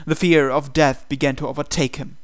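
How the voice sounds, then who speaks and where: A man's voice: a little high, steady tone, a little fast, clean tone. A man reading a visual novel aloud in a dramatic storytelling narration style.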